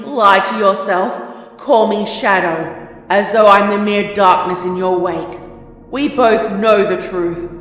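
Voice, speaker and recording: A woman voicing a fanatical female sun-monster that lives in a pony princess's heart, speaking in a slow, looming, scornful way through an echoing reverb effect. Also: medium pitch, fairly bright tone. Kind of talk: audio drama reading. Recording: echoey, long-reverb processed voice.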